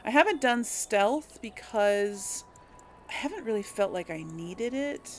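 A woman's voice: medium pitch, animated, measured pace, soft background music. A woman giving casual game commentary, thinking aloud and correcting herself as she addresses viewers. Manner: musing, hesitant self-correcting talk to viewers. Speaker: gamer hosting a role-play playthrough.

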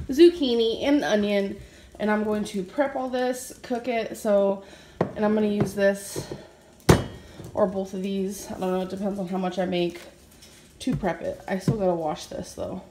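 A woman's voice: mid-range voice, fairly even intonation, reverberant, noisy background. A young woman vlogging casually at home in her kitchen, talking into a handheld phone camera and laughing easily. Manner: chatty, quick to laugh. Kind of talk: casual home vlog chat.

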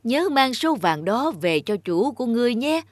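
A woman's voice: on the high side, very animated, normal speed. A woman voicing a genial, lordly male king in a fairy-tale cartoon, with a regal, commanding manner. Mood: pleased. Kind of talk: animated fairy-tale dubbing.

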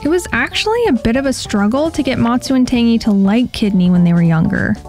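A woman's voice: on the high side, animated, brisk, darkish tone. A woman giving a scripted how-to voice-over as the host of a pet vlog. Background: background music.

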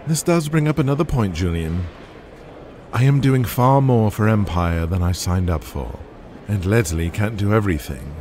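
An actor playing a shrewd, folksy wrestling business adviser in an audio drama, speaking persuasively with the odd hesitation. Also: male voice, fairly low pitch, lively intonation, moderate pace, darkish tone, slight background noise, close to an everyday voice.

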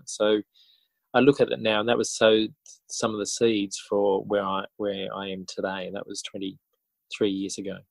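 Man, forties, Australian accent, lowish voice, dry close sound, halting reflective interview talk. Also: creaky, no room sound, low-quality recording. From country New South Wales, Australia. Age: 40s. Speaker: interview guest, schoolteacher and author. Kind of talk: casual podcast interview.

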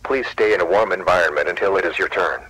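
A man's voice reading a scripted emergency alert announcement in a flat, measured, official way. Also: deep voice, husky, low-quality recording.

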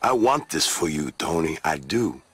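A man voicing a calm Latin American drug grower in a crime game, speaking in a measured, persuasive way. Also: very deep voice, slightly rough, dry recording, exaggerated voice. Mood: earnest business. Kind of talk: video game cutscene acting.

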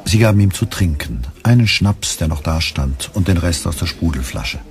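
A man's voice: low voice, animated, normal speed. A man reading scripted literary narration for a radio play.

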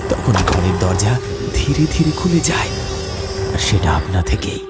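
Animated male horror storyteller. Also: deep voice, unhurried. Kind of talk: dramatic scripted horror narration. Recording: loud music behind the voice.

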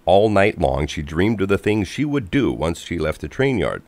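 A man reads a children's storybook in a low voice. His narration is expressive and animated, with wide pitch movement, in a close, dry recording. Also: normal speed, no room sound.

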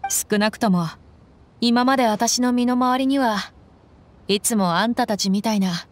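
A woman voicing a tough, blunt, warm-hearted young female mercenary with tomboyish casual speech in an anime-style game. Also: fairly high pitch, unhurried, close to an everyday voice. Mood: grateful.

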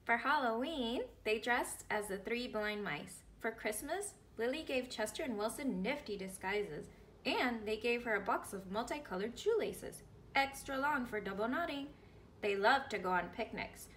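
A woman's voice: a little high, expressive, moderate pace, fairly bright tone, a little hiss or hum. A woman in her thirties reading a picture book aloud expressively for children. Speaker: read-aloud storyteller.

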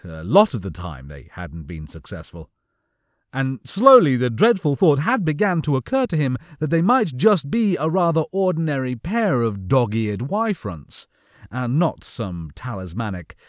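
A man reading comic fiction as a scripted audiobook, with a theatrical, expressive storytelling manner. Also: medium pitch, no room sound, clean studio sound. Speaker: audiobook narrator.

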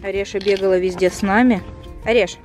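A young woman in her twenties, chatty and lively, talking to viewers and cooing at her cat in a car. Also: mid-range voice, a little fast, over loud music. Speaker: pet vlogger. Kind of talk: casual vlog talk to viewers. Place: inside a car at night.

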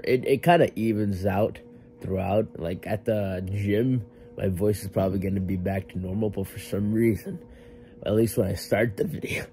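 A man's voice: sits low, warm tone. Rambling laid-back young gym vlogger man. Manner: rambling, filler-heavy, self-correcting. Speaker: fitness vlogger. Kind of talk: casual vlog talk to camera.

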